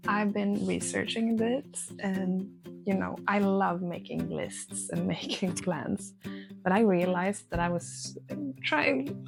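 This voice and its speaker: Swedish girl vlogging, kinda fry-ish voice, lots of uhs, guitar music under it. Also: medium pitch. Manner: hesitant, many uh fillers, nervous laughs. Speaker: art vlogger. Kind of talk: casual vlog talk to camera. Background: soft guitar music.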